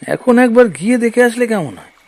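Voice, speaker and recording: A man's voice: very high voice, sing-song, fairly quick, heavily acted. A man voicing a plain-spoken, decisive village fisherman in a Bangla cartoon.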